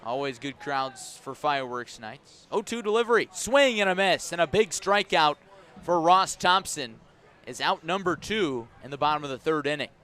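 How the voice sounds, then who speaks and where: A man calling baseball play-by-play live on the radio, measured in delivery and punchy on the action. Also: on the high side, animated, pushed and bright. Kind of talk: live radio sports commentary. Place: outdoor ballpark.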